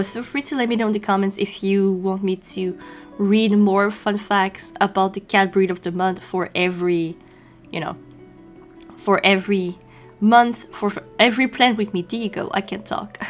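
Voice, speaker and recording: A woman's voice: medium pitch, a bit bright. Chatty young woman with a Quebec French accent. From Quebec, Canada. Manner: chatty and friendly, frequent ums and you-knows. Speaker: journaling channel host. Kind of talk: casual voice-over narration while drawing.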